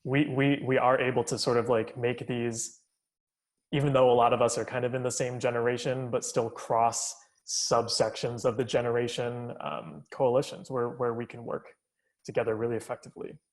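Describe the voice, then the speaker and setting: Halting young man moderating a panel. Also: mid-range voice, flat delivery. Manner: halting, repeats words while thinking.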